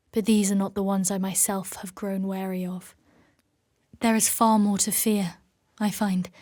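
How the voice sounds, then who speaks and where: An actress playing a grave, guarded warrior woman in a dark fantasy audio drama, speaking in a formal, measured, solemn way. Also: mid-range voice, dry recording, clean studio sound, close to an everyday voice.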